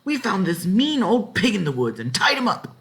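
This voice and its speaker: Man doing an excited, high-pitched young farm boy. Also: normal speed, exaggerated voice.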